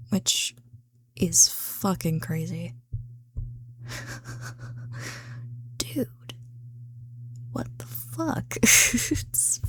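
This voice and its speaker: A tired woman talks slowly in an ASMR style, drifting between soft speech and whispers. Her voice has a marked creak and sits at an average pitch. She rambles casually to her viewers, amused at herself and loose with swearing. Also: a little hiss or hum. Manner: self-amused, giggly, freely swearing. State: tired, late at night. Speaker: ASMR creator.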